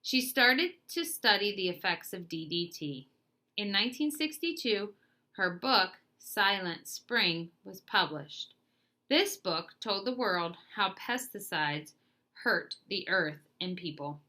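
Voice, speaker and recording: A woman narrates a children's nonfiction book in a very bright, forward voice. Her pitch sits in the middle for a woman. She reads in careful, clearly separated phrases, as for young listeners. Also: animated, takes it slowly. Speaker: read-aloud narrator.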